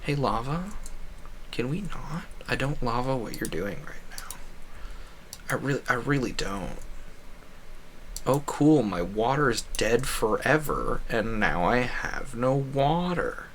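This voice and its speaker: A man's voice: medium pitch, big pitch swings, slow, slight background noise. A man giving casual, chatty, opinionated commentary as a game streamer, often wandering off on digressions.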